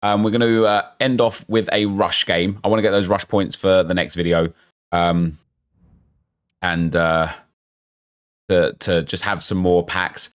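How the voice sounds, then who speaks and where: A man, chatty and excitable, thinking aloud as he opens packs in a football game, losing his voice and getting unwell. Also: deep voice, unhurried, long pauses, clear, resonant tone. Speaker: gaming YouTuber. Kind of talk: casual pack-opening commentary.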